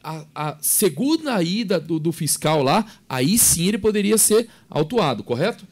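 A man's voice: on the high side, a little slow, breathy edge. A man, chairing a council meeting and arguing points insistently at a desk microphone. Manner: animated, gesturing, insistent. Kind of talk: public meeting discussion. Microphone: desk mic.

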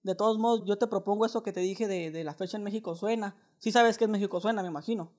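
A man's voice: high voice, fast, darkish tone. A young man with a Mexican accent making a casual prank phone call, talking in a slangy, wheedling, mock-earnest way. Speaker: prank caller. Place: indoors, small living room.

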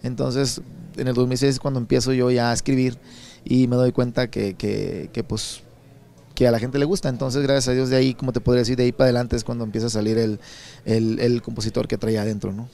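A Mexican man in his thirties from Sinaloa, answering interview questions at an indoor expo booth into a handheld mic, relaxed and rambling with many repeated words. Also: medium pitch, fast, gravelly. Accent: Sinaloan Mexican Spanish. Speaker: songwriter and singer.

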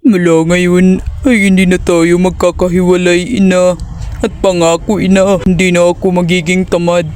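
A man's voice: high-pitched, flat delivery, normal speed, a little mellow, soft background music, close to an everyday voice. A man playing a young village man in a cartoon story who promises his mother he will work hard. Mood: earnest. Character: reformed lazy village youth, eighteen.

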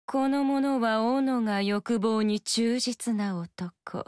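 A woman's voice: fairly high pitch, steady tone, extremely slow, darkish tone, smooth, no room sound. An actress voicing a scheming, seductive female fox spirit in a video game, coy and languid. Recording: dry studio recording.

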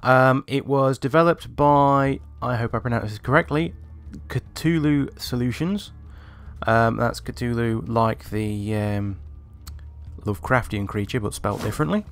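A man's voice: mid-range voice, measured pace. A man hosting a gaming video with casual, unscripted commentary, thinking aloud as he plays. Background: game music.

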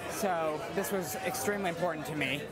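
A teenage boy from Oklahoma, an earnest concert-goer talking quickly in a short street-style interview at a crowded venue. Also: on the high side, rough recording. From Oklahoma, USA. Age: teens. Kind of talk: vox pop interview. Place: crowded concert hall lobby. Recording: busy crowd noise behind. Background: loud crowd chatter.

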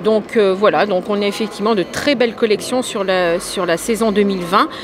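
A woman organizing a wedding fair, answering quickly and enthusiastically into a handheld mic, with many fillers. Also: mid-range voice, noisy background. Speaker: event organizer and shop owner. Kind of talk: interview answer. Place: indoor exhibition hall.

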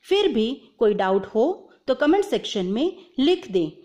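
A woman's voice: on the high side, very animated, unhurried, dark tone. A woman giving an explanatory tutorial voice-over step by step, mixing English terms into her speech. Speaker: video presenter explaining instructions.

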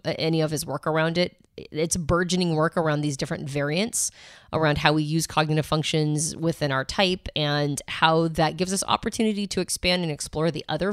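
A woman with a lower voice co-hosts a podcast, talkative and reflective in a laid-back way. She sounds tired, her voice a little worn. The recording is dry and close. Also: no echo. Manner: chatty, reflective, chill. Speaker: podcast co-host. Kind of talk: casual podcast chat.

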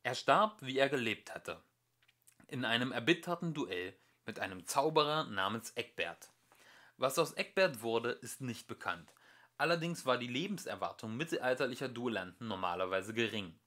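Male amateur audiobook narrator, mid-pitched, animated intonation, expressive scripted read. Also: moderate pace.

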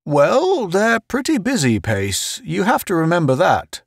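A man's voice: high-pitched, huge pitch swings, a little slow, creaky, slightly rough, dry recording, studio quality, voice pushed up, heavily acted. A man voicing an earnest, insistent, well-meaning space officer giving encouragement in an audiobook. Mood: reassuring. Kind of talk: audiobook character voice.

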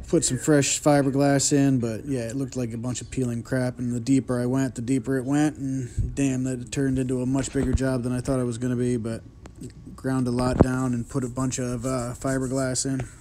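A man's voice: medium pitch, normal speed. Thirties guy, mid-range voice, casual DIY vlogger, swears, self-filmed on a handheld cam with light ambient hiss. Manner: relaxed, chatty, swears casually, laughs to himself. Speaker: vlogger restoring a sailboat. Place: inside a small boat cabin and outdoors in a yard. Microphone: handheld action camera at arm's length.

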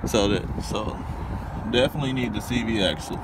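A man's voice: lowish voice, fairly quick. A man in his thirties with an American accent, chatting and haggling casually in a joking, teasing way as he vlogs on a phone. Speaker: vlogger and car dealer picking up auction cars. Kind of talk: casual banter and haggling, talking to camera. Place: outdoors in a car lot, later inside a car. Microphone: phone at arm's length. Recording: noisy handheld phone recording with wind and vehicles.

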